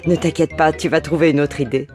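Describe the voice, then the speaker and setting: A woman voicing a caring, patient mother in a cartoon video game, with an expressive, motherly manner. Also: low-pitched, fairly quick, natural voice. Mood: soothing. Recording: music under the voice.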